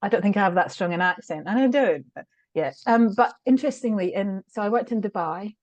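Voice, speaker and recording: A woman's voice: medium pitch, expressive, brisk, a little mellow, no room sound. A middle-aged British woman, a guest on an interview show, reflecting on her work in a candid, conversational way, with frequent laughs and fillers. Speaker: guest, change consultant.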